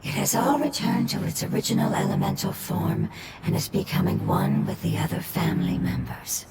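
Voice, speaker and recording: A woman playing a calm, consoling spirit being who speaks softly, often in a whisper. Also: mid-range voice, moderate pace, very noisy, close to an everyday voice. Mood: soothing. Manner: soft, often whispered, soothing. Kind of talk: video game cutscene dialogue. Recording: distant and echoey with loud music.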